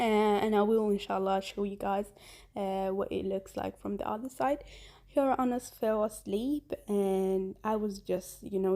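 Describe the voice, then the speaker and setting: A woman's voice: medium pitch, a little mellow. A woman whose first language is Somali, in her thirties, chatting casually through her travel vlog with many fillers and restarts. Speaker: vlogger.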